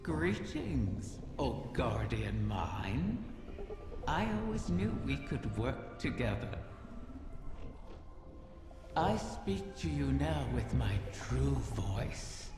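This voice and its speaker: A woman's voice: rumbling low, sing-song, very slow, gravelly, music in the background, full character voice. A woman voicing an ancient, sly, vengeful dead dragon in a video game, with mock-courtly, taunting delivery and heavy reverb. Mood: sly, courteous. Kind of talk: video game character acting. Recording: long cavernous reverb, echoing.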